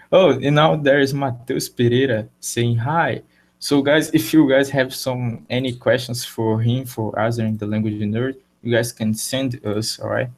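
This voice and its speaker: A Brazilian man with a Portuguese accent hosting a live video chat in English, halting and searching for words. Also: mid-range voice, steady tone. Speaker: live show host.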